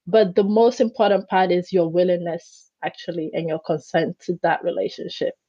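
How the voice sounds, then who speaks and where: A woman whose first language is Greek, a guest in an interview who tells stories in an animated way and acts out the voices she quotes. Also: lowish voice, normal speed, breathy edge, no echo, lo-fi sound. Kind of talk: conversational interview.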